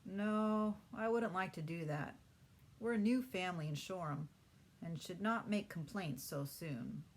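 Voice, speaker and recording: A woman voicing a kindly, fair-minded father in a children's storybook, measured and encouraging. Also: on the low side. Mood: calm, reasoning.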